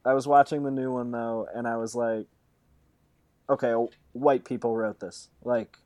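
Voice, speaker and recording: A man's voice: mid-range voice, fairly even intonation, dark tone. A man speaking as a guest on a casual podcast, joking and laughing along. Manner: laid-back, joking, quick laughs.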